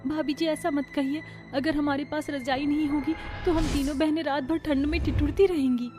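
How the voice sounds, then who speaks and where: A woman as a tender, high-voiced poor girl. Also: fairly even intonation, normal speed, warm tone, faint music behind, lightly acted. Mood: sad, pleading. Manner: tender, pleading. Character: gentle, self-sacrificing poor young elder sister. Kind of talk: cartoon moral story dialogue.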